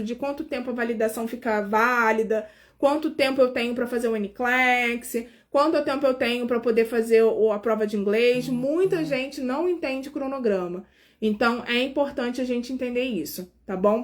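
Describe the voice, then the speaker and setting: High-voiced chatty Carioca woman in her forties. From Brazil. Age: 40s. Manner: chatty, warmly addresses viewers directly, frequent fillers. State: tired. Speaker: nurse hosting a live stream. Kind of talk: casual live-stream chat with viewers.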